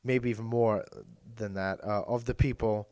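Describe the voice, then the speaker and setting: A man co-hosting a casual chat podcast, hoarse and losing his voice from being sick, talking in a meandering, hesitant way that often corrects itself. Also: on the low side, steady tone, moderate pace, a little mellow, no room sound. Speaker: podcast co-host.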